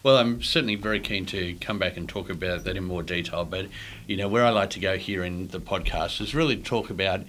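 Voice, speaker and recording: Podcast host, man in his 40s, lower voice, steady prompts. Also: brisk, slight background noise, rough recording. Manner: brief encouraging backchannels. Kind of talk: podcast interview.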